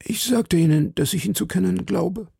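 A man's voice: high-pitched, moderate pace, vocal fry, dark tone, slightly rough, no echo, studio quality, pitched above the performer's own voice, exaggerated voice. A man voicing a timid, deferential middle-aged landlord in an audiobook, hesitant and formally polite. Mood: earnest, confiding. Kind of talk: audiobook character dialogue.

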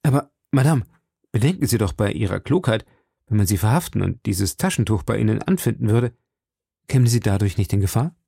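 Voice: mid-range voice, animated, a little fast, vocal fry, warm tone, breathy edge, no echo, clean studio sound. A narrator playing an ardent, insistent gallant in his late teens, speaking eagerly and formally in an audiobook. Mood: pressing. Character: ardent, insistent young gallant man, not yet twenty. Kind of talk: audiobook character dialogue.

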